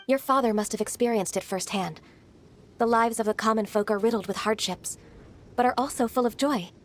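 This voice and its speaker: A woman playing a gentle, earnest young half-immortal in a video game, polite, measured and slightly hesitant. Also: a little high, faint music behind, close to an everyday voice. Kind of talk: anime-style video game acting.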